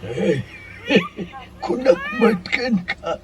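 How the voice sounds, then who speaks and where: A man's voice: extremely high pitch, wildly swooping pitch, very slow, very noisy, full character voice. A man playing an advice-giving friend in a comedy radio drama, drawling theatrically with an Ilocano accent. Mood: smug, amused. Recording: distant from the microphone.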